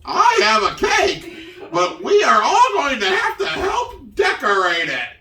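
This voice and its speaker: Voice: high-pitched, huge pitch swings, takes it slowly, bright, forceful tone, exaggerated voice. A performer voicing a cheerful, bossy puppet housemate in an amateur puppet show. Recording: roomy, distant microphone.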